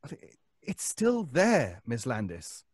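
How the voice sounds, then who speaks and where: Obsessive anxious neighbor, halting stammer, animated pitch, dry close sound, vintage suspense radio style. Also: male voice, slightly rough, no echo, exaggerated voice. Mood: insistent, anxious. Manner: stammering, hesitant, breaking off. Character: frantic, obsessive male neighbor, nervous and pleading. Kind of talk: old-time radio suspense acting.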